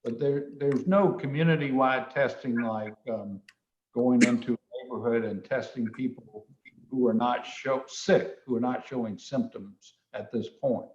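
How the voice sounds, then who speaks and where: Male mayor on a conference call, really slow and halting, roomy echo on him. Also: medium pitch, fairly even intonation, darkish tone. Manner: halting, deliberate. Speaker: mayor chairing the meeting. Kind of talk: replying and moderating in a meeting. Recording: dull, echoey conference call audio.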